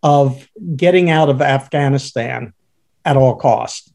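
A man co-hosting a podcast who calls himself a baritone, thinking aloud in halting, filler-heavy speech. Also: vocal fry, darkish tone. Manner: hesitant, many uh fillers and restarts. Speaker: podcast co-host. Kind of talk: casual conversational podcast.

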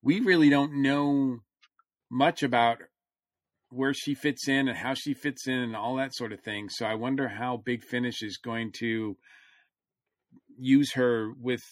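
A man's voice: mid-range voice, fairly even intonation, unhurried, no echo, clean studio sound. A man co-hosting a science fiction podcast, talking casually and often searching for his words mid-thought. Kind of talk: casual, fannish panel chat.

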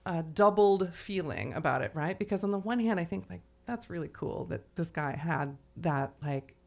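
Woman podcast host, voice a bit on the low side, chatting about books, says like and you know a lot. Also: animated, moderate pace, vocal fry. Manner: conversational, frequent "like" and "you know". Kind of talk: casual literary discussion.

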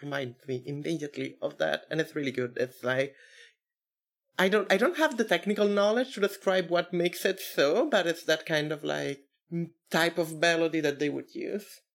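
A woman's voice: deep voice, moderate pace. A woman in her twenties chatting casually as a podcast co-host, teasing and thinking aloud in hesitant loops. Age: 20s. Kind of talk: casual chat about records.

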